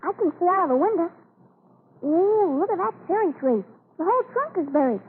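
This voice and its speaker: A woman's voice: extremely high pitch, animated, fairly quick, slight background noise. A woman playing an eager young farm child in an old radio drama. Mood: excited. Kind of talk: 1940s radio acting. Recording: tinny old radio broadcast.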